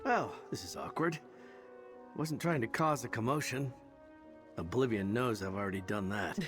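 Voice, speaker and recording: An actor playing a troubled, self-sacrificing adventurer in a fantasy video game, wry and rueful. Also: male voice, mid-range voice, normal speed, a bit bright, soft background music, natural voice. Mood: wry, reassuring.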